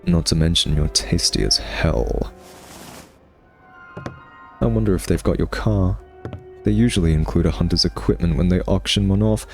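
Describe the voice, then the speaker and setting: Low-voiced, smug, teasing vampire played by a man. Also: creaky, darkish tone. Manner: sardonic, teasing, conversational drawl. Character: smug, teasing male vampire taunting a captured hunter nemesis. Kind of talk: ASMR roleplay monologue.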